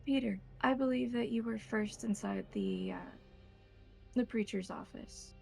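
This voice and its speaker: An American woman narrates a tabletop game as its game master. Her voice is creaky and she sets each scene in measured phrases, with soft music in the background. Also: fairly high pitch, huge pitch swings. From United States.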